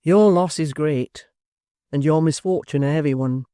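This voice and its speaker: A woman voicing a strong, stern gentleman, urgent and commanding as he calls out. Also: low voice, normal speed, no echo, studio quality, lightly acted. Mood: grave.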